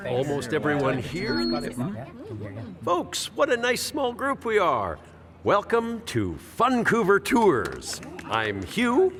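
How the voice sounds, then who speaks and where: A man's voice: high voice, huge pitch swings, takes it slowly, a crowd in the background, full character voice. A swooping, jokey male tour guide. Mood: jovial, showy. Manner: showy, jokey, punning delivery. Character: cheerful veteran bus tour guide, relentless dad-joker. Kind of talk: radio play acting.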